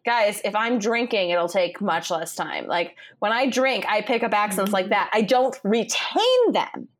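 A woman's voice: medium pitch, animated, unhurried. A young woman with a Southern American accent, co-hosting a casual chat podcast; she rambles, restarts and laughs often, and sounds keyed up. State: wound-up, nervous energy. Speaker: podcast co-host.

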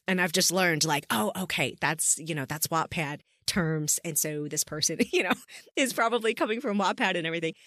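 A woman podcast host with a slightly low voice, recorded dry. She interviews in a casual, conversational way, sounding curious and encouraging as she chats along. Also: fairly quick, a bit bright, no room sound.

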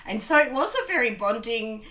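A woman's voice: on the high side, very animated, normal speed, pushed and bright. A woman, hosting a podcast in a chatty way with many ums and restarts. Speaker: podcast host. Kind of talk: casual conversational interview.

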